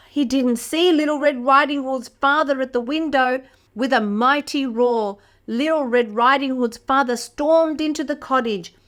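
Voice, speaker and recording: A woman reading a picture book aloud to toddlers as a storyteller, expressive and speaking directly to young listeners. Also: high voice, unhurried. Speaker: children's storyteller.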